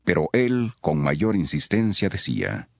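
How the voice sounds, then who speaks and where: A man's voice: low-pitched, very animated, measured pace, vocal fry, dry recording, studio quality. A man narrating scripture from a script as a solemn storyteller.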